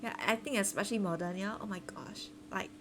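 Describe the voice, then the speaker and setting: A woman's voice: medium pitch, fairly quick. Giggly woman streamer with music behind. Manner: giggly, hesitant, rambling. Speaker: game streamer. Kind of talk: casual live-stream chat.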